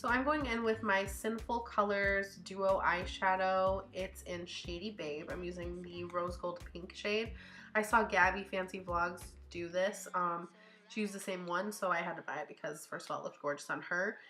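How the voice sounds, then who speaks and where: Stuffy-nosed woman with a bright voice. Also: lowish voice, fairly even intonation, normal speed. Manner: chatty, upbeat, thinks out loud with fillers. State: stuffy nose from a cold. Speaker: beauty vlogger. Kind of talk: casual makeup tutorial voice-over. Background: faint background music.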